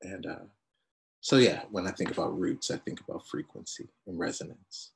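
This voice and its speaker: A man speaking as a panelist in a reflective discussion, halting and searching for words with many restarts. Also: deep voice, gravelly, slight background noise.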